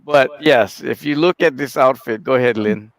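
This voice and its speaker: A man who runs the organization chats on a live studio broadcast, trading jokes and teasing his co-hosts. His talk is loose and friendly, full of "uh" and restarted sentences. His pitch is ordinary, and the recording is close and dry. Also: normal speed, dry recording, rough recording. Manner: joking, chatty, with fillers and restarts. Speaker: head of the organization. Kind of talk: casual live talk show chat.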